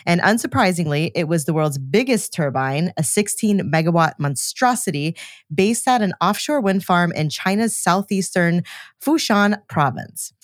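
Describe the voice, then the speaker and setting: A woman co-hosting a podcast, mixing scripted presenting with casual, expressive conversation. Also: lowish voice, moderate pace, dry recording, clean studio sound. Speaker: podcast co-host.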